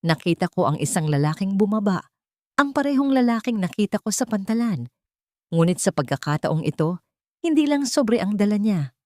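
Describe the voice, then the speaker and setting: A woman with a Filipino accent reads a dramatic first-person story in a solemn, deliberate, theatrical way. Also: medium pitch, very animated, a little mellow, no echo, studio quality. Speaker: audiobook narrator.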